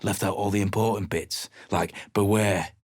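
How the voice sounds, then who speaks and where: An actor playing a bitter, gruff male human adventurer who has lost his crew, speaking with a surly, coarse, cursing manner. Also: lowish voice, steady tone, moderate pace, no echo, natural voice. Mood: grim, surly. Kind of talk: video game voice acting. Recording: dry, close game studio recording.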